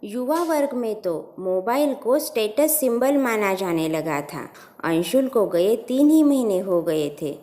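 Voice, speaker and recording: A woman's voice: mid-range voice, lively intonation, unhurried, dark tone. A woman narrating a scripted first-person family story as a storyteller.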